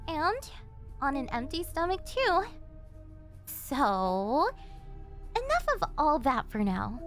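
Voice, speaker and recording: A woman's voice: high voice, heavily acted. A woman playing an obsessive, possessive young yandere girlfriend in an ASMR roleplay, with a cloying, coaxing manner that carries a sweet menace. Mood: sweetly controlling. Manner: cloying, coaxing, with breathy giggles and gasps.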